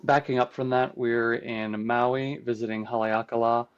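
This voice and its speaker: A man's voice: mid-range voice, little pitch movement, measured pace, clean tone, muffled recording. A man whose first language is Croatian, explaining things conversationally as an interview guest. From United States, Croatian immigrant family. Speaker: podcast guest, trip guide and composer.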